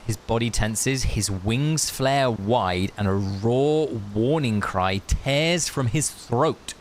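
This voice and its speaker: A man's voice: mid-range voice, takes it slowly. A man livestreaming a game, chatting casually and reading aloud in a laid-back, matey, jokey way. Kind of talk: casual chat and read-aloud game commentary. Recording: faint hiss.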